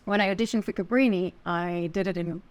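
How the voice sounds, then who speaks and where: An Italian-born actress who speaks English with a British accent, telling stories with small laughs as a podcast guest. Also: female voice, lowish voice, fast, smooth. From Italy. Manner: animated storytelling with small laughs. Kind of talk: podcast interview.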